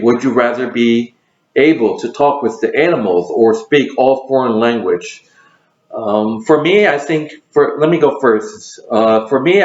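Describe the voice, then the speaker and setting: A man hosting a casual question game, talking with many fillers and restarts. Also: medium pitch. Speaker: host. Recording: roomy, dull lo-fi sound.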